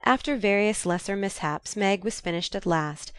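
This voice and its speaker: A woman's voice: mid-range voice, normal speed, smooth, no echo. A woman reading a classic novel aloud as scripted audiobook narration.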